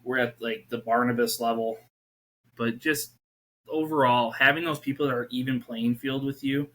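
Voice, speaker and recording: A man's voice: mid-range voice, steady tone, normal speed, fairly bright tone. A man in his thirties, a youth pastor, talking earnestly as a podcast guest and rambling with many restarts. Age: 30s. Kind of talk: casual faith conversation.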